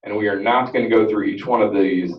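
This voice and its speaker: Man, very low loud voice, rambling meeting presenter, distant mic in echoey room. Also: a little fast, lo-fi sound. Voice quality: self-described very loud voice. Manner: loose, rambling, many fillers and restarts. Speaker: energy audit presenter. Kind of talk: presentation at a school board meeting. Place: school district board meeting room. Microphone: room microphone at some distance.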